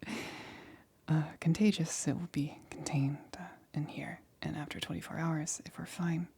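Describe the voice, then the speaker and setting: A woman's voice: low-pitched, flat delivery, moderate pace, creaky, mellow, a little hiss or hum. A woman playing a shaken, awkward but reassuring lab scientist in an ASMR roleplay, whispering breathily with a hesitant stammer. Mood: nervous, reassuring.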